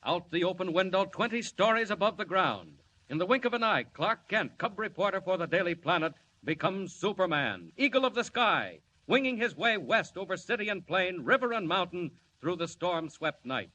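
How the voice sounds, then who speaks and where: A man's voice: sits high, very animated, moderate pace, hardly any pauses, sharp tone. A man narrating a 1940s radio serial with a dramatic, sweeping delivery. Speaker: narrator and announcer. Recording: dull old radio recording with hiss.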